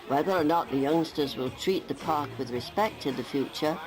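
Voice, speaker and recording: A woman's voice: low-pitched, normal speed. A woman giving scripted local newsreel narration with light wry humour. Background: music.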